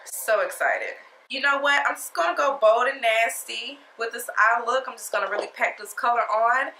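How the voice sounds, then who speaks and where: A woman's voice: on the high side, normal speed, bright, forceful tone, reverberant, rough recording. Chatty, sassy woman with a stuffy nose. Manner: chatty, sassy, talks straight to viewers. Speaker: beauty vlogger. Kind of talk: casual makeup tutorial chat.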